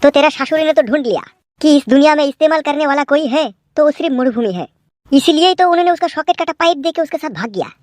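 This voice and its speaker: Crude, fast-talking swaggering henchman acted by a woman. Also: sits high, a little mellow, no echo, natural voice. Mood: taunting. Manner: taunting, threatening, coarse. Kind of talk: comic village cartoon dialogue.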